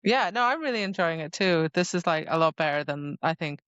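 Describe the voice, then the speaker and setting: A woman's voice: low-pitched, lively intonation, a little fast, fairly bright tone, smooth, no room sound, lo-fi sound. A woman co-hosting a book podcast, chatting casually in loose, informal phrasing. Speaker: podcast co-host. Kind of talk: casual book discussion chat.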